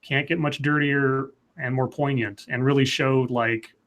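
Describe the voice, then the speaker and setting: A man with a medium-pitched voice discusses comics casually as a livestream panelist. He leans hard on his points and often halts to find his words as he goes. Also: steady tone, measured pace, a bit bright.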